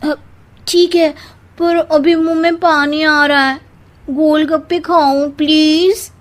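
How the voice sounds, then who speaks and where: Woman doing a whiny young wife in a cartoon, really high voice, stubborn and pushy. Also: level tone, takes it slowly. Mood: pleading. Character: stubborn young newlywed wife obsessed with her favorite snack. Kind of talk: Hindi cartoon family comedy dialogue.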